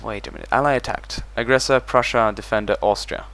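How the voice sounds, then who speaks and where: A man's voice: mid-range voice, steady tone, fast, a bit bright. A man hosting a let's play, talking through his strategy game moves as he thinks them over. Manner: thinks aloud while managing a strategy game. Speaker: gaming channel host.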